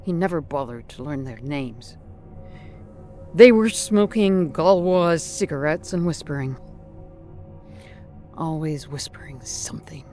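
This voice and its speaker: Audio drama narrator for scripted horror: unhurried, deliberate, clipped phrasing, mid-pitched, over music. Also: lively intonation, darkish tone.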